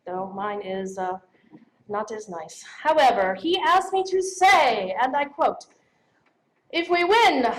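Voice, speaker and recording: A woman's voice: high-pitched, low-quality recording. A woman giving an informal, effusive acceptance speech at an awards event. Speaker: podcast host accepting an award.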